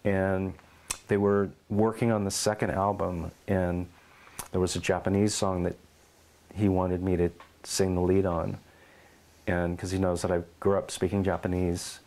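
A middle-aged man in an oral history interview at his home, telling lively stories and acting out quotes. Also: sits low, a little slow. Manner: animated storyteller, acts out quotes and meows.

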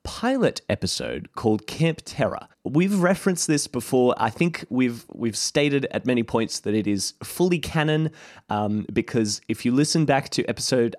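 Male podcast host, mid-pitched, informal rambling intro style, dry recording. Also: darkish tone, no echo. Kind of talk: casual spoken introduction to listeners.

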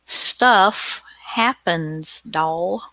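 A woman's voice: lowish voice, very slow, bright, forceful tone, no echo. A woman hosting a radio show in casual chat, with a folksy, teasing manner.

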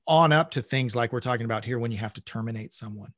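A middle-aged man talking conversationally as an interview guest, in halting bursts with repeated words. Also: mid-range voice, fairly bright tone, no echo, clean studio sound. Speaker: interview guest, manager at a global company.

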